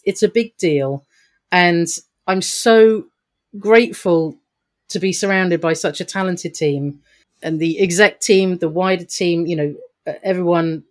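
A woman talking as a guest in an interview, upbeat and chatty, laughing often and restarting her sentences. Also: fairly low pitch. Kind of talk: podcast interview answers.

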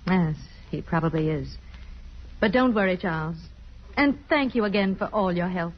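An actress playing a composed, wistful estranged wife and mother, poised and measured, in 1950s radio drama style. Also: on the low side, lively intonation, lightly acted. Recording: dull old radio recording.

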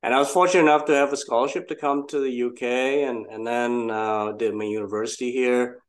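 A man's voice: medium pitch, fairly even intonation, a little fast. An older man from Malaysia, a guest entrepreneur telling his story in a casual interview, reflective and self-deprecating.

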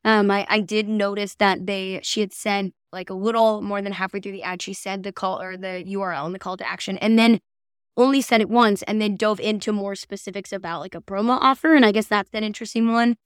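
A woman co-hosts a podcast in a casual, conversational way. Her voice is clear and smooth, at a middling pitch. She sounds agreeable and thinks aloud as she goes, recorded dry and close. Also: fairly even intonation, a little fast, dry recording. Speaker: podcast co-host. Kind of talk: casual chat reviewing podcast ads.

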